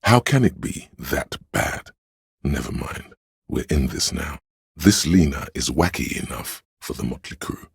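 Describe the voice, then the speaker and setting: A man playing a solemn, all-knowing inner-voice narrator in a video game, with a gravelly, husky voice and a measured, literary delivery. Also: rumbling low, animated, dry recording, studio quality, exaggerated voice. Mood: wry, weary. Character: omniscient, solemn inner-voice narrator of police camaraderie. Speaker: voice actor narrating a character's inner voice.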